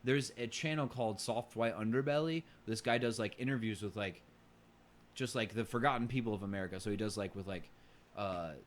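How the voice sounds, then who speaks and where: A man co-hosting a podcast in casual conversation, halting and often restarting his phrases. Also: fairly low pitch, slight background noise. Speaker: podcast co-host.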